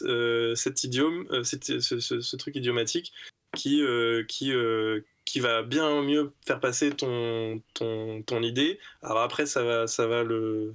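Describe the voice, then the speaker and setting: A man's voice: medium pitch, a bit bright. A man co-hosting a casual podcast discussion, thinking aloud and repeating words with many fillers. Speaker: podcast co-host.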